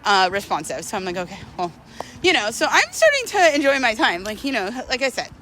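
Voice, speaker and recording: Young female vlogger, higher-pitched and bright, slangy casual storyteller, some street-like noise behind her. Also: sing-song, a little fast, faint music behind. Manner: informal and slangy, with frequent hedging asides. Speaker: vlogger telling a personal story.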